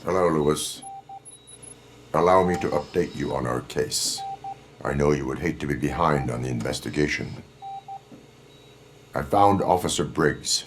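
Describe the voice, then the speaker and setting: An actor playing a stoic cyborg police officer in a video game, with a gravelly, robotic voice and flat, formal delivery. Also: male voice, extremely low pitch, unhurried, long pauses, noisy background. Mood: subdued.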